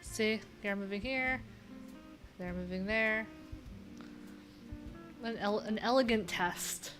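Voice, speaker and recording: A woman's voice: medium pitch, slow pace, a bit bright, poor audio. A woman in her thirties hosting a casual live coding stream, playful and thinking aloud in fragments. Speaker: live-stream host and web developer. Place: indoor room, live stream. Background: guitar music.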